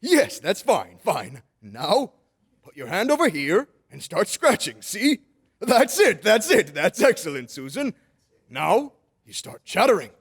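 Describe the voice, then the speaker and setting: A man's voice: high-pitched, sing-song, a little slow, breathy edge, exaggerated voice. A man playing a jolly, kindly old Santa Claus in old-time radio drama style, with playful, grandfatherly, coaxing phrasing. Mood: gleeful.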